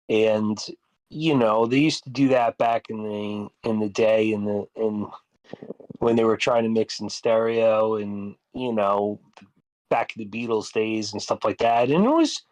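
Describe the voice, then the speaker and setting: Male musician, reflective chat. Fairly deep, close dry recording, wandering hesitant delivery. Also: no echo, low-quality recording. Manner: hesitant, rambling, repeats words. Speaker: songwriter and musician. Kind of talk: casual reflective discussion.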